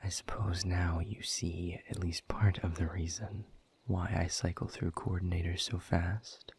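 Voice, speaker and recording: A man playing a weary, bitter exiled demon in an audio drama, speaking in a gravelly, breathy half-whisper with a slow, sardonic delivery. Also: low voice, level tone, heavily acted. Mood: brooding bitterness. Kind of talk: dramatic audio roleplay monologue to the listener.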